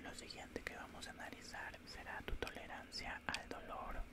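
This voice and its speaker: A man voicing a cold, condescending alien scientist in a whispered ASMR roleplay, speaking in a calm, clinical, breathy whisper close to the microphone. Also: lightly acted. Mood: clinical, faintly menacing. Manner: slow, calm, clinical, faintly menacing. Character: cold, condescending male alien scientist examining a human specimen. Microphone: close-up studio microphone.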